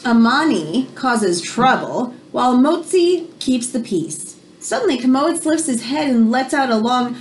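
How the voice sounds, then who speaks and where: Expressive, high-voiced woman reading aloud. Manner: expressive, teacherly, pausing to explain words. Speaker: children's picture book reader. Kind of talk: read-aloud storytelling with explanatory asides for children. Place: small room indoors at home. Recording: home recording with background noise.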